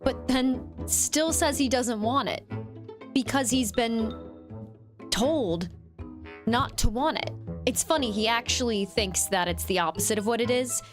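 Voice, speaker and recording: A woman's voice: fairly high pitch, unhurried, music in the background. A woman giving a scornful, explanatory voice-over commentary.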